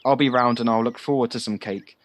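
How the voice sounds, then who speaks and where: A man acts out a young village lad for an audio drama. He is chatty and eager, with an artless candour. His talk rambles along openly, in a middling pitch. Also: fairly even intonation, fairly quick, lightly acted. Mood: eager, cheerful. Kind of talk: audio play acting.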